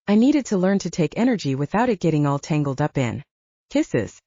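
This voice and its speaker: A woman's voice: deep voice, sing-song, a little fast, dark tone, no room sound, highs cut off. A woman narrating an audiobook, reading scripted fiction in the first person.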